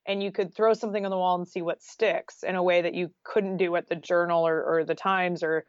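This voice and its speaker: A woman's voice: on the low side, fairly even intonation, brisk, no echo, dull audio. An American woman telling stories casually as an interview guest, chatty and sprinkled with "like". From Oregon, United States.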